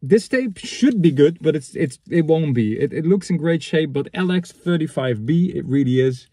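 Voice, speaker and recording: Man with a muted, slightly husky voice. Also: fairly high pitch, lively intonation, warm tone, no room sound. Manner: halting, matter-of-fact, thinking aloud. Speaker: vlogger reviewing secondhand reel-to-reel tapes. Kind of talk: casual unscripted chat, commenting on items as he handles them. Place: inside a parked car.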